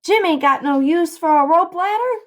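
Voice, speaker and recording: A woman's voice: extremely high pitch, animated, moderate pace, pitched above the performer's own voice. A woman voicing a practical, plain-spoken boy sidekick in a storybook reading, questioning in rustic dialect. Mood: practical, objecting.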